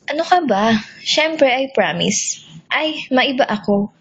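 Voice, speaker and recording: A woman's voice: sits high, animated, takes it slowly, highs cut off, exaggerated voice. A woman playing a fickle, sassy young girlfriend in an amateur radio drama, with a Filipino accent. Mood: breezy, affectionate.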